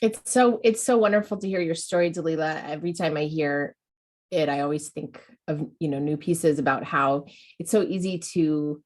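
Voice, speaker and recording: A woman's voice: sits low, normal speed, a little mellow. A woman hosting an educational webinar, talking in an encouraging way and often restarting her sentences. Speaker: webinar host.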